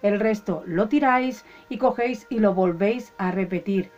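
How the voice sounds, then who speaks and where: A woman calmly explains a home ritual step by step, talking to her viewers as friends. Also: mid-range voice, normal speed, lo-fi sound. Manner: calm, instructive, addresses viewers as friends. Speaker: ritual channel host. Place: indoors at a table, hands only in shot.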